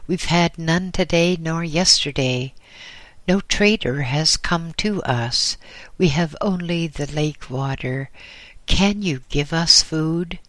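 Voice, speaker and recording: Weary young monk in a storybook, urgent and pleading, somewhat higher, bright dry tone, voiced by a male narrator. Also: takes it slowly, dry recording.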